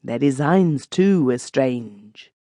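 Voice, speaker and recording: A man reading a classic ghost story as an audiobook narrator, telling it in the first person in a measured, literary way. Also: medium pitch, animated, mellow, no room sound, clean studio sound.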